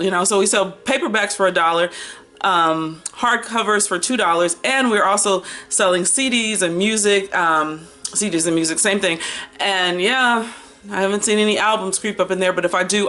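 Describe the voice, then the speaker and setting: An American woman in her fifties talking casually as a book vlogger, chatty and animated, wandering off on tangents and drawing out words for emphasis. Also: on the low side. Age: 50s. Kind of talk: casual rambling chat to camera.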